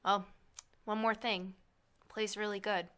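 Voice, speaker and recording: A woman playing a hurt, resentful and anxious young student in an audio drama, sharp but vulnerable. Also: medium pitch, level tone, measured pace, clear, resonant tone, close to an everyday voice. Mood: bitter, defiant.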